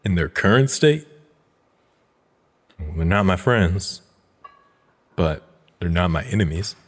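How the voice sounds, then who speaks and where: An actor playing a calm, community-minded young man in an audio drama, measured, analytical and sincere. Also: sits low, long reverb tail, full character voice.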